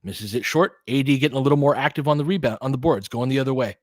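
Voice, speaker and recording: A man's voice: medium pitch, fast talker, dry recording, clean studio sound. A man giving conversational, partisan basketball play-by-play commentary, reacting openly to the action as it unfolds.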